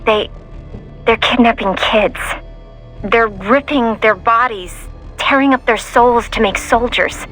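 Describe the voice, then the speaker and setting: Earnest, high-voiced young operator. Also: female voice, slow pace, fairly bright tone, soft background music. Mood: pained, determined. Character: earnest young female mission support operator, loyal, idealistic. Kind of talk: video game voice acting.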